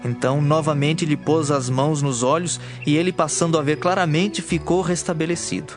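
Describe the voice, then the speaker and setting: Guy narrating a bible drama, formal n measured, voice a bit high, soft music under it. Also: fairly even intonation.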